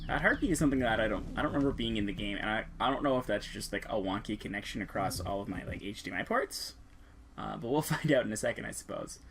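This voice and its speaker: A man's voice: lowish voice, animated, fast talker, fairly bright tone. A man hosting a casual let's-play, talking in a hesitant, rambling way with plenty of fillers and self-corrections. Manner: self-deprecating, easygoing. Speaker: host. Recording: game music playing underneath. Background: game music.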